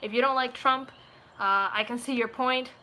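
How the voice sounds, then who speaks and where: A young woman of about twenty with a bright, crisp-edged voice talks casually to her viewers. She rambles playfully, and her rather high voice comes through slightly noisy webcam audio in a room at home. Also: fairly even intonation, normal speed. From Puerto Rico. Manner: playful, rambling, slips into put-on accents and voices. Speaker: video creator chatting with viewers. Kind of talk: casual live chat. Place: small room at home, indoors.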